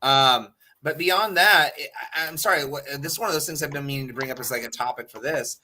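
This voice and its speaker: A man's voice: on the high side, fairly quick, pushed and bright, a little hiss or hum. A man talking casually and bluntly as a panelist on a talk show, opinionated and freely swearing. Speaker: podcast panelist.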